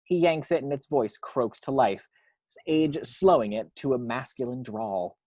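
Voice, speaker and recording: A man's voice: mid-range voice, animated, darkish tone, no room sound. Man reading screenplay narration, dry close sound. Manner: measured, descriptive reading with occasional stumbles. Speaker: narrator reading action description at a script table read.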